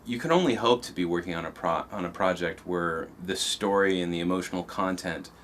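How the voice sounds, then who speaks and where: Deep-voiced man composer, thoughtful. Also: fairly quick, fairly bright tone. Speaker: composer being interviewed. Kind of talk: behind-the-scenes interview. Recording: faint background ambient noise.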